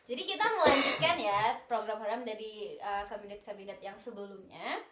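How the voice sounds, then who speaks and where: A woman's voice: mid-range voice, moderate pace, heavy background noise. A young woman, chatting casually and playfully as a podcast co-host. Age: young adult. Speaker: student association podcast co-host. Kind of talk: casual group chat. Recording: roomy, echoey indoor recording.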